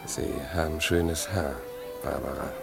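A low, blunt male voice of an impulsive tough guy. He is rough-spoken with a romantic streak, in 1950s radio drama style, with faint music behind him. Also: fairly even intonation, a little slow, full character voice. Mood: smitten. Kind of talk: 1950s German radio play acting.

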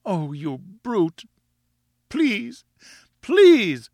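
A man's voice: high voice, wildly swooping pitch, very slow, creaky, darkish tone, no echo, voice pushed up, full character voice. A man voicing a small, furious, scolding wife who exclaims indignantly in an audiobook. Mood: wailing, pleading. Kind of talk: audiobook character acting.

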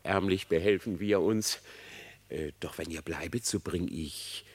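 An actor playing a sly, flattering, treacherous male fox in a verse radio play, with wheedling false courtesy. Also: low voice, unhurried, breathy edge. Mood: obliging, flattering. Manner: wheedling, theatrical, feigned courtesy.

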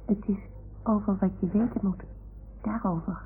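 Earnest little boy, creaky, old radio. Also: medium pitch, fairly even intonation, normal speed. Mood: hesitant. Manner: childlike, earnest, repeating adults' words. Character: imaginative young boy talking to his toy animals. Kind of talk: 1960s Dutch radio play acting. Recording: old radio recording, phone-like band, noise.

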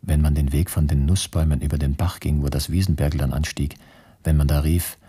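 A deep, dark male voice of an embittered political prisoner in a literary radio play. He speaks with grave, measured reflection, as if reading out his own letters. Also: level tone, breathy edge, heavily acted. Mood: grave, confessional. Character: embittered male communist camp prisoner writing letters to Maria.

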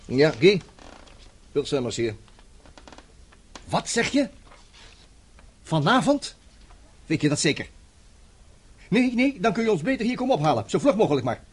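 An actor playing a brash, hot-tempered journalist who talks bluntly and sardonically in a radio drama. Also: male voice, sits high, big pitch swings, measured pace, pauses often, slightly rough, heavily acted. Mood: urgent.

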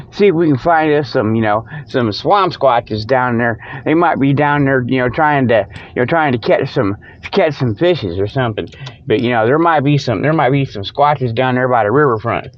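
A man's voice: medium pitch, lively intonation, moderate pace. A man hosting a comic show in a rambling, folksy monologue, digressing freely and given to chuckling at his own jokes. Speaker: host of a comic Sasquatch-hunting show. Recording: dull, lo-fi.

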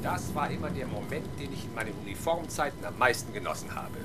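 An actor playing a weary, sardonic middle-aged police inspector in a German radio crime drama, grumbling with dry irony. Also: male voice, medium pitch, big pitch swings, quick pace, close to an everyday voice. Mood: dryly ironic. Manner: grumbling, dryly ironic. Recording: faint background noise.

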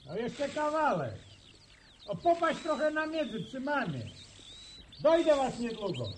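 A man's voice: very high voice, huge pitch swings, measured pace, slight background noise, full character voice. A man playing a good-natured, practical young farmer and older brother in a radio drama, with folksy hesitant phrasing. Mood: friendly.

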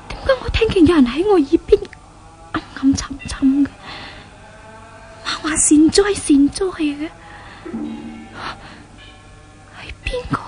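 Casting: tormented, once-pampered young woman, Hong Kong Cantonese radio drama, high and mellow, breathy, remorseful. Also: low-quality recording. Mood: startled wonder. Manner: dramatic, breathy monologue with sighs. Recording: 1970s radio drama recording.

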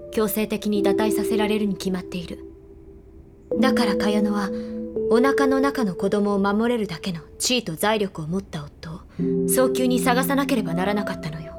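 A woman's voice: medium pitch, natural voice. A woman voicing a haughty, cool young lady in an audio drama, speaking in an imperious, cutting and formal manner. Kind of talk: audio drama acting, expository monologue. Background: loud music.

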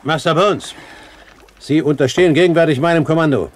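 An actor playing a commanding pirate captain in a radio play, courtly, measured and sardonic in manner. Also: male voice, on the high side, natural voice. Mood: stern, sardonic. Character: suave, commanding pirate captain and great-uncle. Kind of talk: German radio play acting. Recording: faint background noise.

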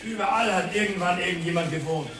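An actor playing a surly, dismissive workman in a radio crime drama, gruff and brusque. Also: sits high, fast, close to an everyday voice. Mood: hostile. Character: surly, dismissive male workman guarding an empty flat. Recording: echoey room, loud background sound.

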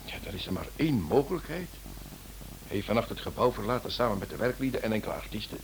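A man playing a lively, sceptical detective in a radio play, exclaiming and questioning in an animated way. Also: on the low side, quick pace. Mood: questioning, pressing. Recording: noisy old radio play recording.

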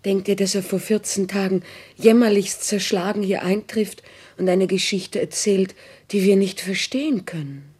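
A woman acting an anxious, devoted wife in a radio play. Her voice is breathy and soft-edged, of medium pitch, with careful German stage diction. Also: fairly even intonation, moderate pace, lightly acted. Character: worried, devoted wife of a horse dealer.

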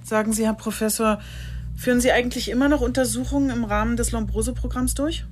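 An actress playing a dry, firm chief inspector with a formal manner in a radio crime drama. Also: female voice, on the high side, steady tone, quick pace, a little mellow, a little hiss or hum, natural voice. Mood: formal, pointed. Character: dry, authoritative female police chief inspector.

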